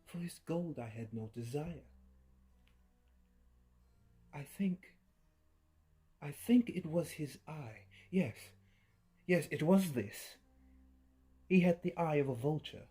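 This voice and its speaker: A man giving a dramatic horror story reading, swinging between hushed murmurs and sudden loud outbursts, with an unhinged edge and creepy laughs. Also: on the high side, expressive, takes it slowly, pauses often, breathy edge. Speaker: narrator. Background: faint violin music.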